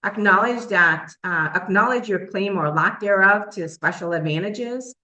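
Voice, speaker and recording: A woman's voice: mid-range voice, normal speed, bright, forceful tone, reverberant. A woman from Trinidad, a professor, giving an earnest webinar talk with frequent ums and uhs. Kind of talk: webinar presentation.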